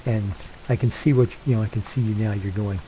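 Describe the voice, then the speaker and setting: An older man with a head cold narrates his gameplay in a mellow, congested voice. He rambles casually, circling back with fillers and restarts. A faint hiss sits under the recording. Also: on the low side, steady tone, brisk, low-quality recording. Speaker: let's play host.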